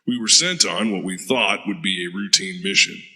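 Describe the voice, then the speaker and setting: A man's voice: low-pitched, normal speed, pushed and bright, slightly rough, no echo, heavily acted. A man playing a hardened veteran soldier who talks in a blunt, profane way. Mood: gruff, recounting. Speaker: interrogated war veteran. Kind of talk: audio drama acting.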